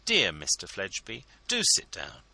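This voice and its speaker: A man voicing a gushing, flattering scheming wife who pleads sweetly in a storybook reading. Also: low-pitched, normal speed, creaky, no echo, heavily acted. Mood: gushing pleading.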